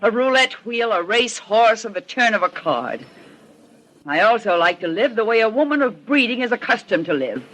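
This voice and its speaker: An actress playing a greedy, haughty murderess in a 1940s radio crime drama, with an imperious, theatrical delivery. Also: female voice, on the high side, big pitch swings, moderate pace, a bit bright, poor audio. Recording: old 1940s radio broadcast.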